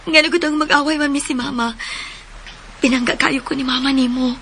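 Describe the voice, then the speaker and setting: A woman's voice: high-pitched, fairly even intonation, fairly quick, a bit bright, a little hiss or hum. An actress voicing a troubled young married woman haunted by a child's cry, pleading and tearful in a radio drama. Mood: confused.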